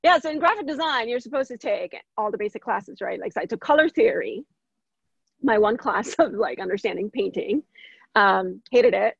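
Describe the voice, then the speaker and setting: A woman from India in her thirties talks casually as an interview guest, lively and quick to laugh. Her voice has a gravelly, husky edge, and the recording is dry and a little dull. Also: fairly high pitch, dry recording. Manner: animated, laughs often, many fillers.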